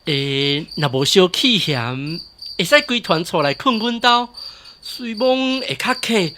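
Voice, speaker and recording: An actor with a Taiwanese accent playing a solicitous, generous village gentleman in a radio drama. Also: high-pitched, very animated, takes it slowly, noisy background, heavily acted. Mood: hospitable. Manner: solicitous, courteous. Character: generous, hospitable village gentleman, eager host.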